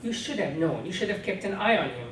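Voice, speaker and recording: A man reading the part of a stern, accusing father in a novel, forceful and scolding. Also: high voice, sing-song, fast talker. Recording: roomy, distant, faintly noisy.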